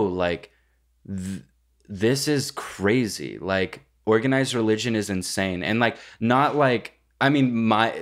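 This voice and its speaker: A young man co-hosting a casual podcast, with a jokey, exaggerating, sweary way of talking. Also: fairly low pitch. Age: young adult. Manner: jokey, profane, hyperbolic. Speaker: podcast co-host.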